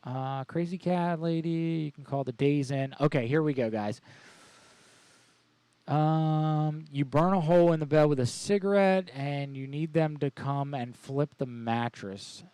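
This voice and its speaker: A man's voice: a little high, a little slow, warm tone, smooth, no echo. A man casually bantering as host of a live prank call show, with crude, slangy joking.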